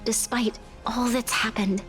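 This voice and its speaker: A woman playing a composed, earnest young ruler in a video game, speaking in a formal, measured, resolute way. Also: fairly high pitch, steady tone, faint music behind, natural voice. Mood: earnest, diplomatic.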